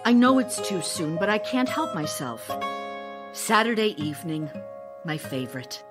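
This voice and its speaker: A woman's voice: lowish voice, sing-song, moderate pace, music in the background. A woman playing an ardent, sentimental stage actress in an audio drama, earnest and wistful as she recalls her romance. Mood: longing, tender. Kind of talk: theatrical audio drama, narrated memories and love letters.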